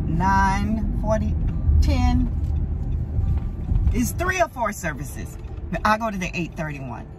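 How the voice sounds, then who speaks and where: A woman's voice: mid-range voice, big pitch swings, slow. A middle-aged woman chatting casually to the camera as a vlogger, recorded on a phone inside a car. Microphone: phone at arm's length. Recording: loud car noise.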